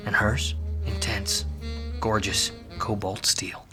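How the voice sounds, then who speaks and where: Washed-up private eye for noir radio drama, male actor: wry, slangy, tough, lowish forceful voice, music underneath. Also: big pitch swings, takes it slowly. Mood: brooding, wry. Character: hardboiled, washed-up male private detective, wisecracking and world-weary. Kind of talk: film noir radio play, first-person narration and dialogue.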